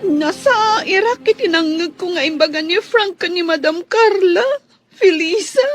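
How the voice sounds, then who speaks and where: An actress with a Filipino accent playing a heartbroken young housemaid in a radio drama, plaintive and weepy. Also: female voice, very high voice, unhurried, soft background music. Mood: tearful, hurt. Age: young adult. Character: heartbroken young housemaid in love, tearful and wounded.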